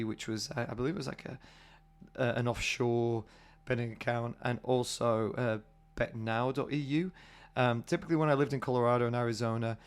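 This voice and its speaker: A British man hosting a casual solo podcast, husky from a cold, chatty and rambling with many fillers. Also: mid-range voice. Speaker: podcast host.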